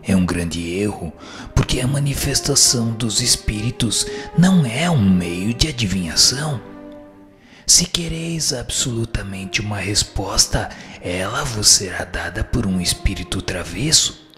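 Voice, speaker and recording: A man's voice: on the low side, expressive, unhurried. A man with a Brazilian accent playing a wise, firm spirit who gives formal, didactic answers in a scripted radio drama. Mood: firm, cautioning. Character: instructive male spirit, wise, firm and solemn. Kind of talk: scripted dialogue, formal reading. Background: music.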